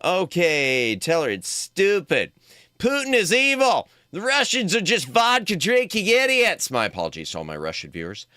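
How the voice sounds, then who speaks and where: A man commentating on a game live for his chat, joking and animated. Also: high voice, normal speed, no echo, studio quality. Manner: jokey, animated, talks to chat. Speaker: streamer.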